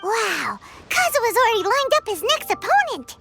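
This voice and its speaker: A woman voicing a tiny, excitable, childlike fairy companion with a squeaky voice in an anime game. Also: moderate pace, vocal fry, full character voice. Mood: excited. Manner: excitable, exclamatory. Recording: loud background music. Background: game music.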